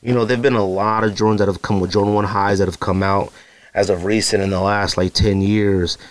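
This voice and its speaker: A man's voice: on the low side, flat delivery, fairly quick, dry recording. A middle-aged man hosting a podcast, casually rambling with lots of ums and you-knows, self-deprecating. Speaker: podcast host. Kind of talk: casual solo sneaker show-and-tell.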